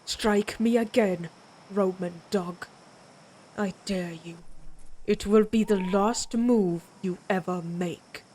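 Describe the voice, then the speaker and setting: A woman playing a proud, menacing vampire warrior in an audio roleplay, speaking deliberately with an imperious, taunting manner. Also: medium pitch, a little mellow, poor audio. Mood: threatening.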